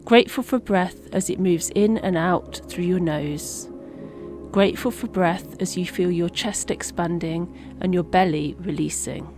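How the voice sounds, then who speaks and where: Over relaxing music, a woman leads a guided meditation at a very slow pace. Her voice sits a little low, and she delivers each phrase gently, with long pauses.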